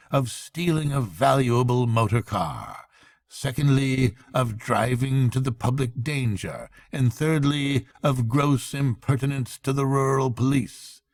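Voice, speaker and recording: A man voicing a cheerful, pompous magistrate in a storybook, speaking formally with deliberate emphasis and relishing harsh judgement. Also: medium pitch, no echo, clean studio sound, voice pushed up.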